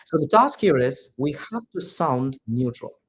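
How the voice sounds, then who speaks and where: A man's voice: mid-range voice, big pitch swings, a little slow, breathy edge. A man from India whose first language is Malayalam, teaching English pronunciation in a direct, repetitive classroom manner. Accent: Indian, neutralized English. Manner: direct, repetitive, addresses students as "guys". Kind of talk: classroom instruction to students.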